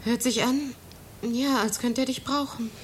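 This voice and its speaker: A woman's voice: fairly high pitch, fairly even intonation, a little slow, a little hiss or hum, natural voice. An actress in a German radio drama playing a romantic, impulsive young lady with an airy, dreamy delivery. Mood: romantic, eager.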